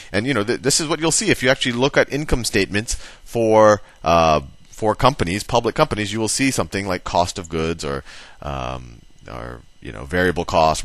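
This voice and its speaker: A man's voice: low-pitched, a bit bright, dry recording. A man giving a casual explanatory lesson, talking it through conversationally and thinking aloud as he writes. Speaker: teacher.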